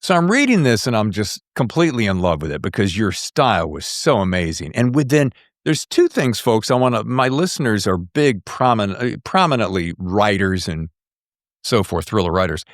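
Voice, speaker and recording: A man in his sixties hosting a podcast, chatting casually with effusive, excitable fan enthusiasm. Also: on the low side, normal speed, no echo, studio quality. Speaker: podcast host. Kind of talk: casual interview chat.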